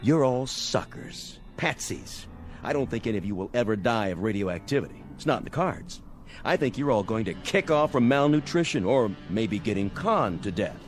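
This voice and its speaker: Guy acting a bullying army major, sarcastic n menacing, medium pitch w big swings, radio play with music under it. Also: very animated, normal speed, lightly acted. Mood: scornful. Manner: mocking, domineering, slangy. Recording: music bed behind dialogue.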